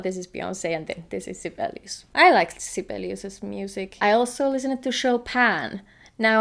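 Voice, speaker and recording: A young woman from Finland with a Finnish accent, chatting casually in a vlog and laughing often. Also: mid-range voice. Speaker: vlogger.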